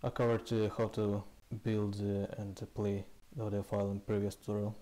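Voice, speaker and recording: A man presenting a programming tutorial in a casual walkthrough style, speaking haltingly in short, hesitant phrases. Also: on the low side, level tone, warm tone. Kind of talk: casual screencast code walkthrough. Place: indoors, voice-over recorded with screen capture.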